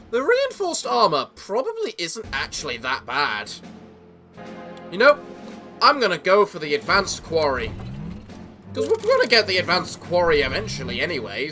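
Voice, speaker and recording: A young English man giving casual let's-play commentary in a theatrical gentleman persona, a little sick, with a voice that sounds off. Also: high-pitched, huge pitch swings, moderate pace, faint music behind. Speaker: gaming YouTuber.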